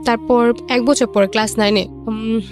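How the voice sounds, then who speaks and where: A woman's voice: fairly high pitch, fairly even intonation, measured pace, darkish tone. A young woman with a Bangladeshi accent telling her own story casually, in colloquial speech, quoting remembered conversations. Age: young adult. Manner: colloquial, acts out remembered dialogue. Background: music.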